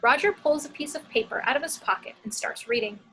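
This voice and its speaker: A woman's voice: fairly high pitch, fast, sharp tone, breathy edge, low-quality recording. A woman reading scripted action descriptions as the narrator of a screenplay table read.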